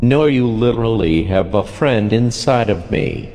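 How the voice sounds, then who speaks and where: A man voicing a friendly cartoon cowboy toy who talks slowly and deadpan. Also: lowish voice, in a large hall, a little hiss or hum, natural voice.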